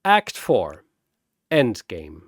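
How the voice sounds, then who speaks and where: A man's voice: on the low side, wildly swooping pitch, drawn-out words, dry recording, clean studio sound. A man narrating a scripted battle story and announcing its fighters in a dramatic style.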